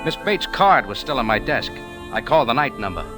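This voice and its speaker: An actor playing a wisecracking, unflappable cafe owner and amateur sleuth, wry and casual, in a 1940s radio detective style. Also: male voice, medium pitch, big pitch swings, a little fast, music in the background, lightly acted. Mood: hard-boiled narrating. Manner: wry, hard-boiled, casual. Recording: old radio drama recording.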